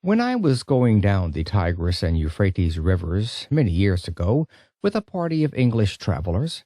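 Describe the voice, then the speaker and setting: A man narrating an audiobook as an expressive first-person storyteller. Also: on the low side, normal speed, no room sound, clean studio sound.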